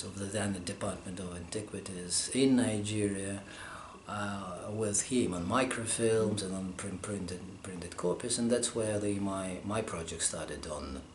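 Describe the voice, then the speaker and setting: A man from Russia, a scholar answering interview questions, pausing often as he searches for his words. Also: low voice. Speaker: scholar interviewed about manuscript studies. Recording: roomy echo, faint background hum.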